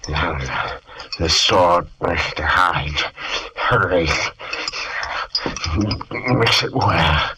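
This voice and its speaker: An actor playing Dr. Jekyll, a troubled gentleman scientist, in theatrical 1940s radio drama style. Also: low voice, extremely slow, pauses often, full character voice. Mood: intense. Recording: old radio recording with faint hiss.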